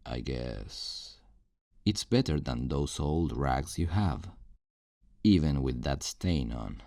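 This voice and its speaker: A man's voice: extremely low pitch, lively intonation, vocal fry, dark tone, no room sound, clean studio sound, heavily acted. A man playing a possessive, controlling kidnapper in an intimate ASMR roleplay, coaxing and condescending with menacing tenderness. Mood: chiding, condescending. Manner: slow, coaxing, condescending pet-name talk.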